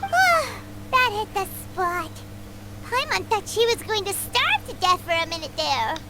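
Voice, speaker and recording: Tiny fairy mascot, childlike squeak, very high pitch, lively swoops, food-loving, chirpy, video game. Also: female voice, a little slow, heavy background noise, full character voice. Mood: perky. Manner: speaks of herself in third person, exclamatory. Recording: loud game music underneath.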